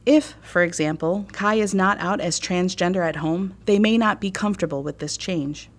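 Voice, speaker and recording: A woman with an American accent reading scripted instructional narration in a measured, formal way. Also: lowish voice. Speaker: training narrator.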